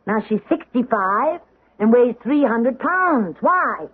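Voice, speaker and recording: Middle-aged actress, high husky voice, anguished and defensive, theatrical radio drama, dull vintage recording. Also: female voice, animated, slow pace, full character voice. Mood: anguished, searching. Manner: theatrical, intense, emphatic. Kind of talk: 1970s radio drama acting.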